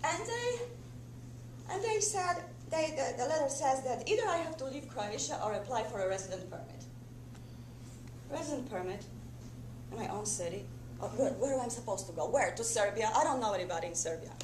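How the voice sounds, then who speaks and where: Actress as distressed bitter woman, theatrical monologue, halting and repetitive, very animated intonation, noisy humming theatre recording. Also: a little high, roomy sound, natural voice. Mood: agitated, bewildered. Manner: halting, repetitive, emotionally dramatic. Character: distressed, bitter Croatian wife and mother, agitated. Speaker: stage actor. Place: indoor theatre stage, rehearsal. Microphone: camera a few metres away.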